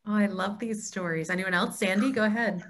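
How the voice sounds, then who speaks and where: A woman's voice: mid-range voice, fairly even intonation, a little fast, a bit bright, slight background noise. A woman hosting a casual group conversation, inviting others to share and speaking on a video call. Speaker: discussion host.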